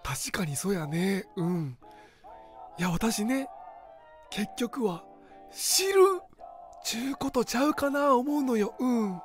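A folksy customer with a Kansai accent and a slightly high voice muses at his own leisurely pace, as a man puts him on. Faint music plays under him, as in a radio drama. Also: big pitch swings, takes it slowly, pauses often, heavily acted. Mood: wistful. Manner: folksy, musing.

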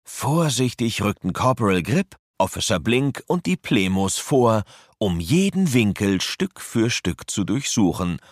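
A man narrating a children's adventure audio play as a measured storyteller. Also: on the low side, big pitch swings, no room sound, studio quality. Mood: suspenseful.

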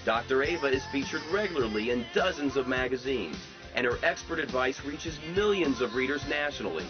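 A man reads a formal, measured introduction in a mid-range voice. The sound is dull and lo-fi, and music plays behind him. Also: medium pitch, lively intonation. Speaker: announcer. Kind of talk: scripted introduction.

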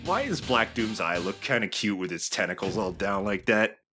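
A man chats and jokes casually over a video game. His mid-range voice moves around a lot, and game music is clearly heard behind. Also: lowish voice, lively intonation, moderate pace, pushed and bright. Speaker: let's play commentator. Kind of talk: casual joking game commentary.

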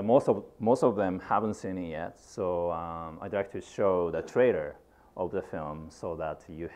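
A middle-aged man from Japan with a Japanese accent, giving a university lecture as a guest filmmaker. He speaks in halting phrases with many fillers and restarts, standing in a lecture room. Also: low-pitched, warm tone.

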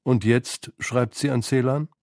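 A man's voice: medium pitch, fairly even intonation, measured pace, darkish tone, no echo, studio quality. A man reading scripted narration for a radio feature about literature. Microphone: close studio microphone.

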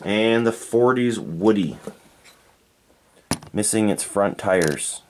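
A man from Canada reviewing toy cars in a relaxed, unhurried way, thinking aloud and correcting himself as he goes. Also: on the low side, a little hiss or hum. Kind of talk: unscripted hands-on toy review. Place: indoors at a tabletop diorama.

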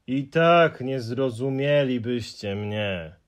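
A man's voice: mid-range voice, lively intonation. A man voicing a gloomy, fussy male Hemulen who drags out his mournful complaints in an audiobook. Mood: glum. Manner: mournful, sighing, drawn-out complaints. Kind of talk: audiobook character voice.

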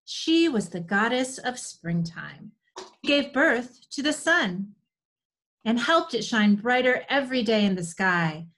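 A woman's voice: a little high, lively intonation, slow, fairly bright tone. A woman in her forties giving scripted storytelling for children, recorded over a video call from a room at home. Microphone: video call computer mic.

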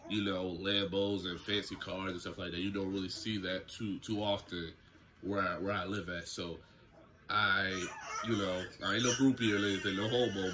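Low, bright-voiced young man vlogging. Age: young adult. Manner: relaxed, slangy storytelling to camera. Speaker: YouTuber. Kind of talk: casual story time vlog. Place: small indoor room at home. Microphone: camera close in front, seated.

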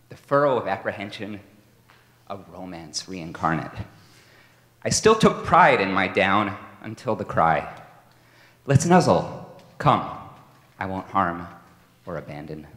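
Casting: man performing aging rodent dad, male, tender and proud. Solemn theatrical verse, deliberately delivered, big echoey stage sound. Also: low-pitched, big pitch swings, fairly bright tone, exaggerated voice. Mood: tender, pleading. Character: tender, proud, aging father rodent addressing his pup. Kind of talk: dramatic poetry reading. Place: stage in a large hall with audience. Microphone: microphone on a stand. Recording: echoey hall, stage microphone.

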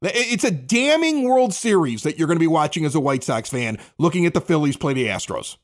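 Guy hosting a sports podcast, pretty high voice, chatty presenter style. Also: very animated, a little fast. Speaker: podcast host. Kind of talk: sports talk and sponsor reads.

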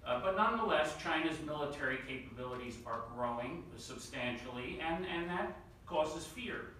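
A man from the New York City area giving panel remarks, talking quickly with lots of fillers and repeated words. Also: on the high side, expressive, pushed and bright, slight background noise. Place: large conference room. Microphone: table mic, recorded from the far side of the room. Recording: echoey room audio from far off.

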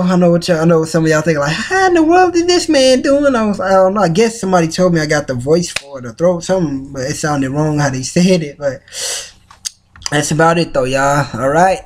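A man's voice: high-pitched, a little mellow. A young man chatting casually to his viewers on a webcam in a small room at home, rambling and laughing as he goes. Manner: laid-back, rambling, laughs often. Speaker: YouTuber. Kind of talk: casual vlog chat. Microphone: webcam at arm's length.

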